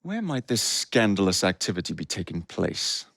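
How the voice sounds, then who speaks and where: Video game rogue: young English assassin, cocky and teasing, lowish voice, very animated delivery, dry close recording. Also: male voice, moderate pace, creaky, no room sound, exaggerated voice. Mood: brisk, purposeful. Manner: flippant, sardonic, teasing. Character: cocky, wisecracking young male assassin and gang leader. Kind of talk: video game character dialogue.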